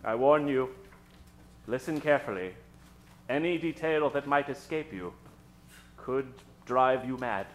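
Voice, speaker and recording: A man hosting and narrating an old-time radio spoof on stage, with a theatrical, mock-ominous delivery full of dramatic pauses. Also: mid-range voice, lively intonation, slight background noise. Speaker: host and narrator of a radio show. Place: theater stage before an audience. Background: live keyboard music.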